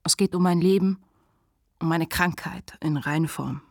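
A woman playing a self-reflective, candid writer in a radio play, narrating deliberately and confessionally in a husky voice. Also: low-pitched, fairly even intonation, no echo, clean studio sound. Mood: sober, confessional.

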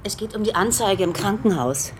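An actress playing a businesslike, dry, persistent police detective in a naturalistic radio drama. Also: female voice, mid-range voice, lively intonation, moderate pace, slight background noise, close to an everyday voice.

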